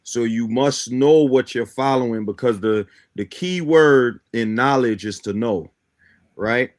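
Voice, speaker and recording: A man's voice: mid-range voice, unhurried, a little mellow. A man from Guyana who grew up in Brooklyn, explaining his ideas in a didactic way as a talk show guest. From born in Guyana, grew up in Brooklyn, New York. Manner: didactic, often checks in with "right?". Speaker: guest, teacher of a belief system.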